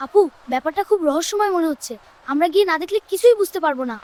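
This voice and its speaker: A woman voicing a curious, kind-hearted child in a cartoon, with earnest, expressive delivery. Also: extremely high pitch, very fast. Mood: excited, curious. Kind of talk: cartoon dub dialogue.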